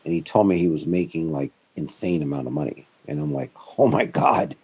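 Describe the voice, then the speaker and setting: Middle-aged man, interview guest; deep husky voice, rambling, self-correcting delivery. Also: brisk. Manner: rambling, self-correcting, animated when quoting others. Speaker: guest, founder of a financial firm. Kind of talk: conversational interview storytelling.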